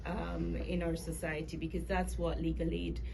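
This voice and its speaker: A woman from Jamaica with a Jamaican accent, telling her story as a guest in an interview in a measured, articulate way. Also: on the low side, level tone, gravelly. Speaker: guest author and lawyer. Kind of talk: interview conversation. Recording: noticeable background noise.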